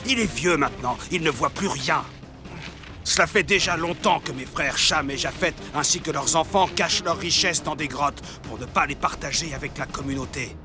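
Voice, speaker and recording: Raised male pitch, actor as greedy secretive schemer, possessive, Bible cartoon, prominent music. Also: normal speed, natural voice. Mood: scheming. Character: greedy, secretive adult son of Noah. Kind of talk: dubbed children's Bible cartoon.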